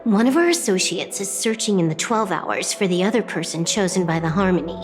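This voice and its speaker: Cool, lowish-voiced commanding woman played by an actress. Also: expressive, fairly quick, close to an everyday voice. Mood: matter-of-fact. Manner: dry, matter-of-fact, portentous. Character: cool, blunt, unruffled commanding woman strategist. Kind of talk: video game voice acting. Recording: clear music underneath.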